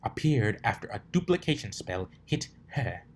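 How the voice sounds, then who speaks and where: A man's voice: lowish voice, sing-song, normal speed, a little hiss or hum. Man putting on a prim, bossy unicorn mare. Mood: stern. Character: prim, bossy unicorn mare shop owner, stern but amused. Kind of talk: amateur storybook character reading.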